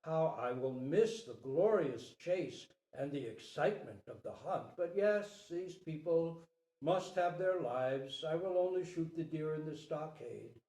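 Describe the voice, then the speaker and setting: A man playing a lordly, slow-declaiming king. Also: fairly high pitch, lively intonation, warm tone. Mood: wistful, commanding. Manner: regal, declaiming. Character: lordly human king who loves hunting. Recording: roomy, distant microphone.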